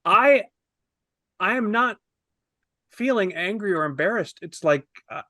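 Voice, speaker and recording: A man's voice: high-pitched, animated, unhurried, pushed and bright, no room sound, clean studio sound. A man in his fifties casually discussing a film with admiring enthusiasm, as a review panelist on a video call.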